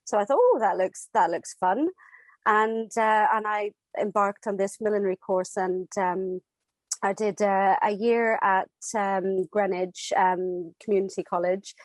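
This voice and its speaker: A woman in her forties from Dublin, speaking English with no Irish accent, telling her story as a podcast guest, halting and full of fillers. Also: medium pitch, dry recording. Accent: none; anglicised English. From Ireland, Dublin. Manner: hesitant, many fillers and restarts. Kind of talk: casual interview chat.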